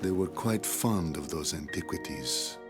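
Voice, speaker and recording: A deep-voiced, weary occupying officer narrates a game cutscene. He is observant and formal, with measured, melancholy delivery. Music is clearly heard behind him. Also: animated. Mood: regretful. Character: weary, observant military intelligence officer of an occupying air force. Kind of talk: scripted dramatic narration.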